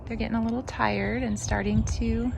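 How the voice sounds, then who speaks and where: A woman's voice: on the high side, flat delivery, normal speed, vocal fry, noisy background. A woman hosting a gardening vlog, chatting casually and musing as she shows her garden. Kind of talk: casual garden tour chat.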